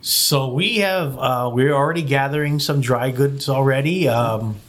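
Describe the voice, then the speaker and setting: A middle-aged man who grew up in Hawaii talks as an interview guest. His mid-range voice is relaxed and conversational. He restarts his sentences often and leans on fillers. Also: medium pitch, unhurried. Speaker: managing director of a community group, guest. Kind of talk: casual studio interview.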